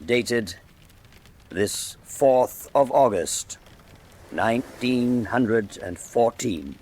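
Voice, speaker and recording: An actor playing a loyal, earnest English gentleman doctor in a radio drama, old-fashioned in manner, with an English accent. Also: mid-range voice, expressive, very slow. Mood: solemn.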